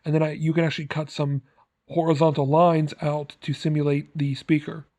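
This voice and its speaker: A man's voice: fairly high pitch, flat delivery, brisk, mellow. A man narrating a scripted how-to tutorial as its host, in a plain, instructional manner. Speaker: host of prop-making tutorial.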